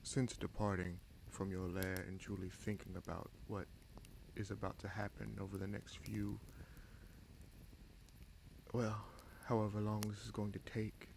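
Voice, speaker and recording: A man playing a vengeful, brooding bastard prince and sorcerer in a fantasy audio roleplay, speaking in a measured, solemn, halting way. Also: lowish voice, creaky, slight background noise, low-quality recording. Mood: calm, pensive.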